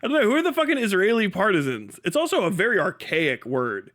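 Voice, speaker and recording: A man's voice: high-pitched, sing-song, fast talker, fairly bright tone. A man giving casual live-stream commentary in a blunt, rambling, profanity-laden way. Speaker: streamer reacting to videos.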